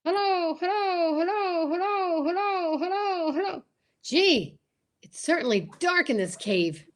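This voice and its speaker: Woman playing cowardly wisecracking comic man, high and animated. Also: unhurried. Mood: cowardly, bemused. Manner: hammy punchline delivery with stammering. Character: Costello, cowardly wisecracking comic man sent lion hunting. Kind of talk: amateur old-time radio comedy acting.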